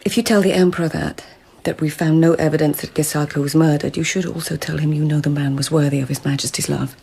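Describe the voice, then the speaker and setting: Creaky-voiced, sardonic court lady. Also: deep voice, steady tone, quick pace, warm tone, heavily acted. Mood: guarded. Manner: dry, wry, clipped. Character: sardonic, guarded Japanese court lady, sharp-witted diarist. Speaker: actor in a radio drama. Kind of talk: naturalistic radio drama acting.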